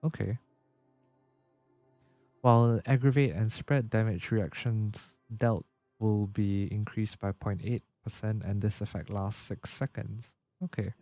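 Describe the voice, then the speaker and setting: Low, mellow-voiced man streaming a game. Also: steady tone, unhurried, dry recording, faint music behind. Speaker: livestreamer. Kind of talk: casual gameplay commentary, reading game text aloud.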